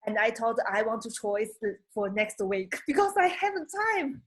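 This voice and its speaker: A woman from China with a Chinese accent, speaking halting, non-native English full of restarts as a job applicant on a video call. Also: a little high, big pitch swings, slightly rough. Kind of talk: conversational English practice.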